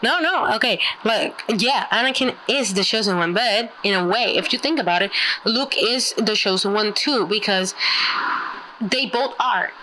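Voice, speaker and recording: A woman whose first language is Spanish, chatting casually, animated and giggly, often stumbling over her words. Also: medium pitch, normal speed, slight background noise, rough recording. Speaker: podcast co-host. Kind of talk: casual chat.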